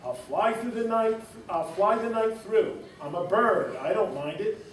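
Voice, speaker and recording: Brief: sneering male eagle, read by a man, higher voice, roomy sound. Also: unhurried. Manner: sneering and dismissive. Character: scornful swift male eagle. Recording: roomy, distant mic, background noise.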